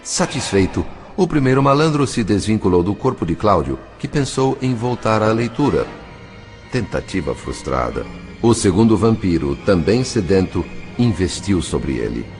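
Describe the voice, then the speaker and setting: A low-voiced man narrates an audiobook in a scripted, dramatic literary style. His intonation is animated and varied. Soft music runs behind him. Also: moderate pace.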